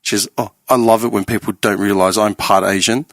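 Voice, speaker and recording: A man's voice: lowish voice, steady tone, fairly quick, a bit bright, no echo, clean studio sound. A man, co-hosting a casual podcast chat, talking emphatically and swearing often. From Australia. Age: late 30s. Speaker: podcast co-host.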